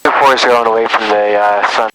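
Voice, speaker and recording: A man piloting a small plane, briefing an approach aloud in a halting way over a headset intercom. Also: on the low side, steady tone. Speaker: pilot flying on instruments. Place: inside a small aircraft cockpit. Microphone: aviation headset intercom. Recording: noisy small-plane cockpit, intercom and radio.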